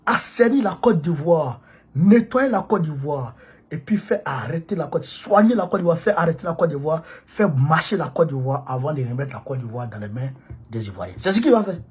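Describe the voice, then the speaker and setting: A man's voice: a little high, lively intonation, normal speed, breathy edge. A man, who has a cold, giving an impassioned political monologue to supporters in an emphatic, repetitive, preachy way. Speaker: political activist addressing supporters.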